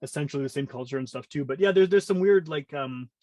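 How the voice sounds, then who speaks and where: A man's voice: a little high, fast, darkish tone, no echo, studio quality. A man of about forty with a Canadian accent, chatting casually as a podcast guest in a rambling, self-interrupting way. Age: around 40.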